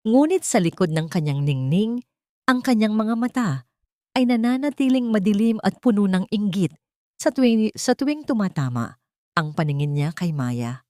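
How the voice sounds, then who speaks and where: A woman narrating a scripted dramatic story in a measured, solemn manner. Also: medium pitch, expressive, warm tone, no echo, clean studio sound.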